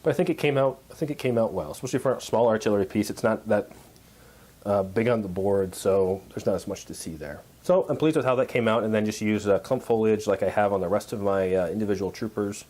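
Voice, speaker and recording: A man with a hoarse voice from a cold, casually showing off his painted models, pausing often with filler words. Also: fairly low pitch, a little mellow. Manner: halting, many uh and um fillers. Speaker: miniature painting hobbyist. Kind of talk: casual show-and-tell vlog.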